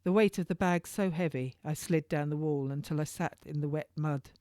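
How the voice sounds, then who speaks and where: A woman's voice: deep voice, normal speed, warm tone, no room sound, studio quality. A woman author reading her own historical novel as first-person narration, in an informal read-aloud way with occasional stumbles.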